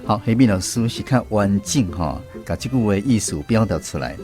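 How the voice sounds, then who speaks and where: Low-voiced Taiwanese man hosting over music. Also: lively intonation, fairly quick, a little mellow. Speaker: radio host. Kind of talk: hosting a language quiz segment. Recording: music playing behind the voice. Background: instrumental music.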